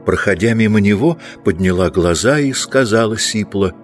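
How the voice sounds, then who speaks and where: A man's voice: fairly low pitch, animated, normal speed, soft background music. A man reading scripted literary narration as the narrator of an audiobook.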